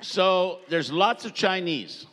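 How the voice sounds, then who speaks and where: Jovial preacher in a reverberant hall. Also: male voice, high-pitched, expressive, fairly bright tone. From Canada. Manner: jovial, joking, pausing for translation. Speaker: visiting preacher. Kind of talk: sermon with live interpreter. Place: church hall.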